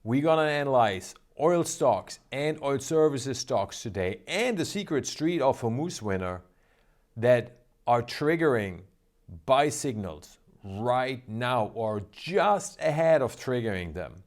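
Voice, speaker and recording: A man's voice: medium pitch, sing-song, slow pace. Upbeat man hosting a trading show. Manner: upbeat, salesy, emphatic. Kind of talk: energetic market commentary.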